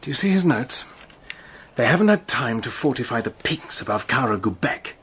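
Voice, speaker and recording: A man playing a brisk, commanding British officer in a radio drama, clipped and decisive. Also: mid-range voice, big pitch swings, fairly bright tone, slight background noise. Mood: eager.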